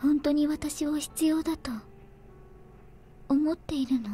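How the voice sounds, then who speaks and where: A woman playing a frail, lonely young woman, quiet, hesitant and resigned, in a Japanese visual novel. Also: high-pitched, flat delivery, moderate pace, close to an everyday voice. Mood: doubtful, reserved. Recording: background music behind the voice.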